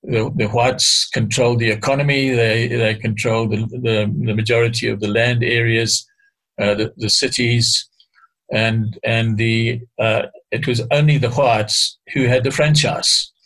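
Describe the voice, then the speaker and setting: An older man from Africa, explaining history at a measured pace with frequent hesitations and restarts as an interview guest. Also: medium pitch, fairly even intonation, a bit bright, rough recording.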